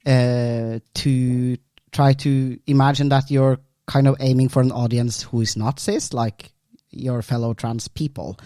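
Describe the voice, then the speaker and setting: A man's voice: medium pitch, level tone, unhurried, mellow, dry recording, clean studio sound. A Norwegian man hosting a podcast interview in English, his second language, talking in a hesitant way with many fillers. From Norway. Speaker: podcast host.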